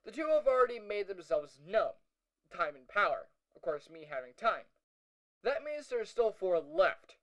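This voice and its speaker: Guy acting as young hero guy doing an audio log, high voice, hesitant n casual, dry wry asides. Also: a bit bright, rough recording. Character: young male hero recounting a meeting with Lucifer, wry, uneasy. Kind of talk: first-person audio log narration, conversational.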